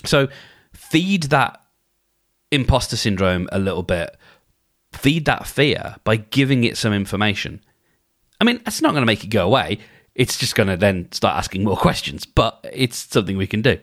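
A male podcaster talking through advice in a loose, conversational monologue. His pitch moves freely up and down, and he often restarts phrases as he thinks aloud. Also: sing-song, normal speed, fairly bright tone. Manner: conversational, thinks aloud, acts out inner dialogues; frequent restarts and filler phrases. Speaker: podcast host.

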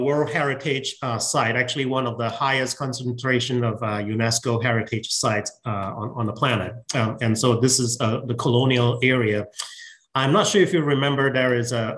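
A man's voice: medium pitch, fairly bright tone. A man from Malaysia with a Malaysian accent, answering as an interview guest in a conversational, explanatory way, often restarting his sentences. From Penang, Malaysia. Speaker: podcast guest, research microscopy center director.

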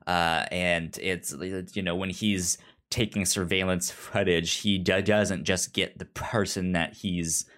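Slow-talking, halting man, lowish voice. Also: fairly even intonation, a bit bright. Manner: halting, often repeats word starts. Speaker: podcast co-host. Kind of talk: casual chat, retelling a TV show episode.

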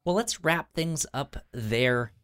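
A man's voice: mid-range voice, expressive, a bit bright, smooth. Stuttering man chatting on a podcast. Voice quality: stutter, repeating sounds and syllables. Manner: halting, informal. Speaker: podcast co-host. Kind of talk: casual podcast chat.

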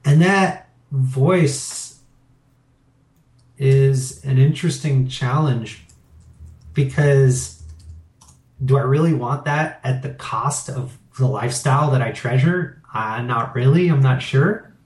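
A man's voice: mid-range voice, takes it slowly, darkish tone. Middle-aged male interview guest, candid and reflective, roomy echo. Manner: candid, reflective, rambling with fillers. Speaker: interview guest, business owner. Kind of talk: casual interview talk.

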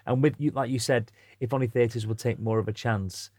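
A man's voice: mid-range voice, fairly even intonation, a little fast, a little mellow, no room sound, studio quality. A man with a British accent chatting thoughtfully as an interview guest, an actor and writer. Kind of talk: casual, thoughtful interview chat.

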